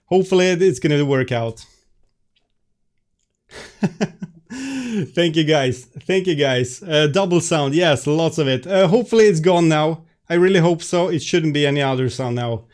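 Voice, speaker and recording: A man with a Swedish accent talks on a live stream, his voice sitting a bit higher than most men's. He sounds stressed and keeps apologizing, tripping over restarts and filler words. He chats casually, straight to his viewers, over a webcam. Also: moderate pace, pauses often, a little mellow.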